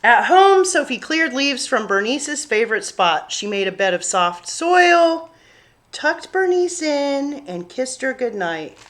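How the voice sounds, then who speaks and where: A woman with a high, very lively voice reads a children's story aloud. She takes her time, shaping phrases deliberately and expressively, as a story-time reader does. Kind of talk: expressive picture-book read-aloud narration.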